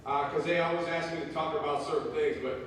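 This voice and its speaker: A man's voice: fairly high pitch, little pitch movement, fast talker. A middle-aged man from Wisconsin, a college basketball coach giving a casual motivational talk to students and asking them questions. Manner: casual, asks the crowd questions, loud voice. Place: large echoey hall. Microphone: speaking without a microphone, far from the recorder. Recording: echoey hall, distant voice, faint noise.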